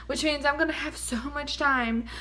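A woman's voice: sits high, steady tone, moderate pace, pushed and bright. A young woman, chatting nervously in a casual review vlog, her voice slightly scratchy from bronchitis, recorded close on a phone camera in a small bedroom. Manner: chatty, nervous, keeps saying y'all. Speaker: vlogger.

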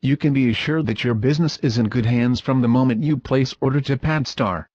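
A man's voice: mid-range voice, brisk, a little mellow, no room sound. A man reading a scripted promotional voice-over for a factory, in stiff, awkward English. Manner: stiff, reads awkward non-native English text. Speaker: corporate video narrator. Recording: dull, lo-fi, dry recording.

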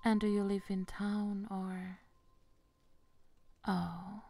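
A woman playing an eerily polite young gothic sister in a whispered ASMR horror roleplay, hushed, genteel and deliberate. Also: medium pitch, level tone, vocal fry, heavily acted. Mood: courteous, eerie. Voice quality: soft, whispery.

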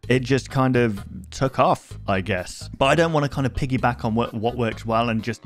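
Man in his twenties, reaction host, casual, rambling, self-interrupting, mid-pitched voice, close desk mic, music behind. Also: normal speed. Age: 20s. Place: small home room, indoors. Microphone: close desk microphone with headphones.

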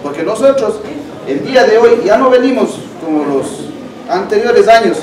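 A man's voice: high voice, animated, moderate pace, a little mellow. A young man with an Ecuadorian accent publicly challenging officials as a community leader. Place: meeting hall, indoors. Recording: distant, echoey, noisy room.

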